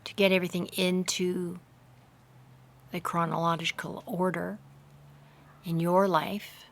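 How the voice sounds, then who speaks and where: A woman leading a slow guided meditation in a measured, hushed voice that often softens into breathiness, recorded outdoors. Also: on the low side, little pitch movement, gravelly. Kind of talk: slow, soothing guided meditation with hypnotic induction. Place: outdoors in a garden. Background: faint outdoor garden ambience.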